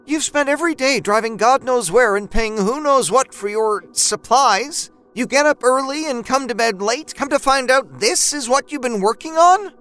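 Man acting as scornful wife, high-pitched, sharp, incredulous, scolding, audiobook drama. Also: lively intonation, normal speed, few pauses, clean tone. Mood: angry scorn. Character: exasperated, scornful wife of an artist.

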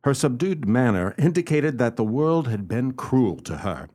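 A man playing a courtly, self-satisfied gentleman detective in a radio play, speaking in a formal, pompous, theatrical way. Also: medium pitch, big pitch swings, normal speed. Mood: smug narrating. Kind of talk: radio play acting with first-person narration.

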